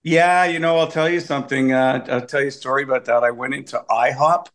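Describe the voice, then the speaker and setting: A man's voice: mid-range voice, steady tone, brisk, a bit bright. A man from Canada, a comedian guest on a video call, telling wry, complaining stories in a casual interview.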